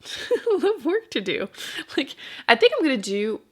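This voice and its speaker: A woman's voice: high-pitched, big pitch swings, normal speed. A woman book vlogger talking casually and informally to camera, often rambling and interrupting herself with dry understatement. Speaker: book vlogger and channel host. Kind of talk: casual chat to camera about books.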